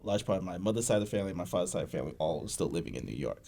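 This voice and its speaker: A man from Boston, a guest on a conversation podcast, telling his story in a relaxed way with many fillers and frequent laughs. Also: sits low, rapid-fire, creaky. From USA, Boston. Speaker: guest, founder of a creative studio.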